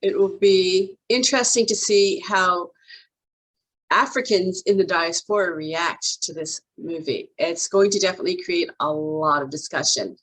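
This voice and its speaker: A woman who grew up in Jamaica and left young, hosting an interview and steering it with brisk questions. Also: fairly low pitch, fairly bright tone, low-quality recording. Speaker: interview host. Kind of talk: conversational interview.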